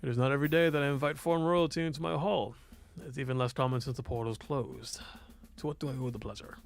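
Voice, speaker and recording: A man's voice: mid-range voice, steady tone, fast, creaky, soft background music, lightly acted. A man voicing a haughty, stern ruling duke in a game, formal and scornful. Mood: formal, imperious.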